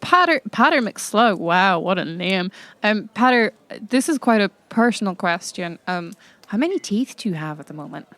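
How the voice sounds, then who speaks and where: A woman's voice: mid-range voice, sing-song, moderate pace, a bit bright, no room sound. A woman playing a friendly, inquisitive pub patron in an improvised comedy role-play, casual and wry. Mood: politely curious.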